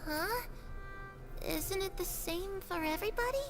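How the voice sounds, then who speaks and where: A woman's voice: extremely high pitch, a little slow, over music. A woman voicing an innocent, slightly confused little ghost girl in a video game. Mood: innocent, unbothered.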